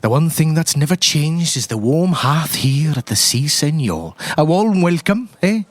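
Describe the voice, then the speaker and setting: Man acting Irish priest, sixties, vain, folksy, rambling, lively pitch, dry close recording. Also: a little slow, no room sound. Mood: jovial, nostalgic. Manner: folksy, rambling, coaxing with tag questions.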